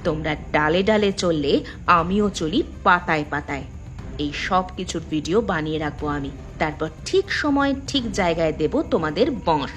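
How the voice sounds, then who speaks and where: An actress playing an earnest, strong-willed young daughter-in-law in a cartoon drama. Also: female voice, fairly low pitch, normal speed, dull audio, faint music behind, natural voice. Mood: determined, defiant. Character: educated young daughter-in-law, defiant amateur sleuth.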